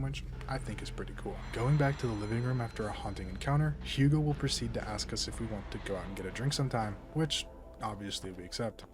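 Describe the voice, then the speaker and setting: A man narrating a casual scripted video essay on a game, informal and wry, with profane asides. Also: fairly low pitch. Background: music behind the voice.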